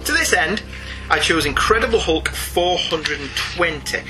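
A man with a fairly high-pitched voice presents a podcast as its host. He delivers prepared, scripted narration in the manner of a review. Music plays clearly behind his voice. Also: lively intonation, a little slow, poor audio.

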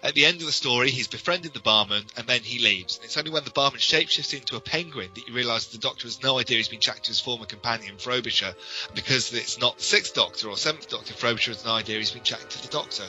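Bright, forceful British male podcast host. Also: medium pitch, fast talker, highs cut off, soft background music. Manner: detailed, explanatory, enthusiastic. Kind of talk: enthusiastic informal podcast commentary.

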